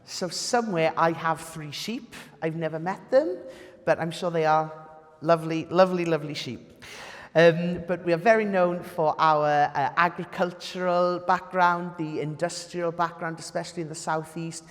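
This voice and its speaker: A man's voice: on the high side, steady tone, moderate pace. A young Welsh man, a native Welsh speaker, giving a friendly public history lecture with lots of "um" fillers. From Wales. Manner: friendly, self-deprecating, many "um" fillers. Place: lecture hall. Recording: reverberant hall.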